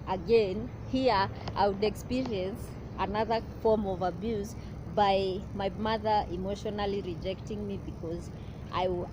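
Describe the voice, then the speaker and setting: A young woman talks in a personal vlog, earnest and halting, often restarting her sentences. Her voice sits at an ordinary middle pitch. Clear outdoor traffic noise runs behind her. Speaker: vlogger.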